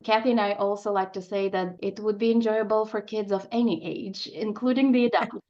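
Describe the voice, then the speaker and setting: A woman's voice: medium pitch, steady tone, a little fast, darkish tone. A woman giving explanatory answers as a guest in a radio interview, heard through a slightly muffled recording.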